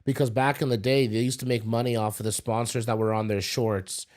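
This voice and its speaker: Mid-pitched man hosting a sports podcast. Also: little pitch movement, brisk, a little mellow. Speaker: podcast host. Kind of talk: casual sports discussion.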